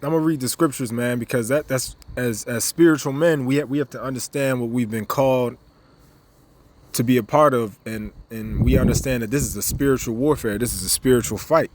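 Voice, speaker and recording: A man with a slightly raspy voice giving a casual religious teaching, rambling and repeating himself, then reading scripture aloud and growing more emphatic. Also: mid-range voice, brisk, a little hiss or hum. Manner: rambling, repeats words, many fillers; grows louder and more emphatic as he goes. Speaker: ministry member giving a teaching video. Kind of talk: informal sermon with scripture reading.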